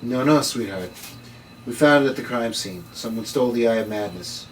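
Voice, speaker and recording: A man's voice: medium pitch, moderate pace, close to an everyday voice. A man reading the part of a brusque, knowing occult investigator in an amateur drama table read. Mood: brisk, knowing. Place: room, read aloud at a table. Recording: distant room mic, faint noise.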